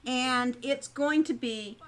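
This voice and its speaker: A woman's voice: high voice, slow pace. A woman co-hosting a casual livestream game show, chatting as she runs the contests.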